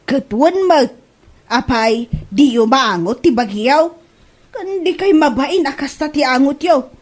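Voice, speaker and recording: A high-pitched, fussy mother-in-law acted by a woman in an Ilocano comedy drama. She scolds and complains bluntly in an Ilocano accent, sounding thoroughly fed up. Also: expressive, unhurried. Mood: disgusted, scolding.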